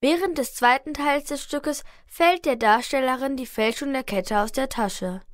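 A woman's voice: high voice, normal speed, slight background noise. A young girl reading scripted narration for a crime radio play. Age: child about 10 to 13.

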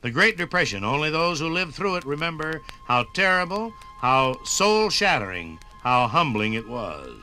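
An American man giving a scripted 1950s radio documentary narration with dramatic, measured phrasing. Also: on the high side, sing-song, sharp tone, faint music behind, low-quality recording.

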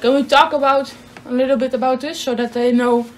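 Highish-voiced young Dutch-accented woman. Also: steady tone, fairly quick. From Netherlands. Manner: giggly, hesitant English. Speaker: co-host of a gaming channel. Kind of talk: casual chat over gameplay.

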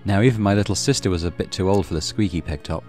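A man reading a visual novel aloud as a let's play host, with expressive storytelling and casual commentary. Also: deep voice, fast talker. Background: soft game music.

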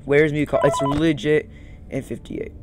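A man's voice: fairly high pitch, fairly even intonation, unhurried, very noisy. A young man giving casual let's-play commentary, muttering and repeating his phrases. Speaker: gaming video host. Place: small room indoors. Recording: loud game audio over voice. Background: loud game music.

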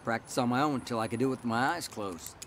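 A man's voice: medium pitch, fast talker, a bit bright, a little hiss or hum, lightly acted. A man playing a defiant, cocky teenage delinquent in a radio drama, with tough, slangy talk. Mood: cocky, goading.

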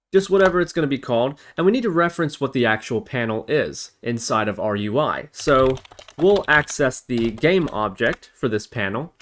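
A man hosting a software tutorial, explaining methodically, step by step. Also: medium pitch. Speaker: tutorial host. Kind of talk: scripted-feeling coding tutorial narration. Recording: noisy, with keyboard typing and clicks. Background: computer keyboard typing and mouse clicks.

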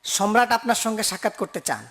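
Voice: mid-range voice, expressive, fast talker, close to an everyday voice. An actor playing a dutiful, formal royal messenger in a dubbed cartoon, recorded with noise.

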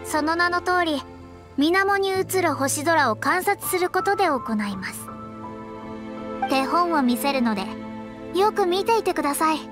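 A woman's voice: very high-pitched, animated, a little slow. A woman playing a proud, confident young female astrologer in an anime game, speaking politely with a boastful, self-assured manner. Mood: instructive. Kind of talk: anime game character dialogue. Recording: background music under clean voice.

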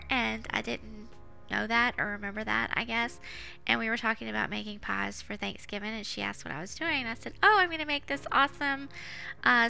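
Casting: female home-cook narrator, informal and chatty, crisp forward tone with vocal fry, over a music bed. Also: mid-range voice, bright, forceful tone. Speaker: home cook, vlog host. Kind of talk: casual cooking voice-over. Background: background music.

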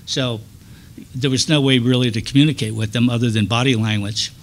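Older male presenter, relaxed anecdotal storyteller with dry humor, on a hall stage at a stand mic, faint room ambience. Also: medium pitch, brisk, a little hiss or hum. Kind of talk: storytelling talk to an audience. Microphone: stand mic at a lectern.

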